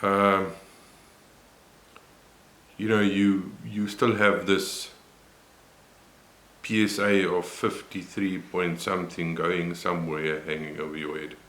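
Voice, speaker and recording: Deep-voiced older Afrikaans-man. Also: flat delivery, fairly bright tone, echoey room, a little hiss or hum. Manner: unhurried, reflective, many pauses and fillers. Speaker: vlogger. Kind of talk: personal health vlog.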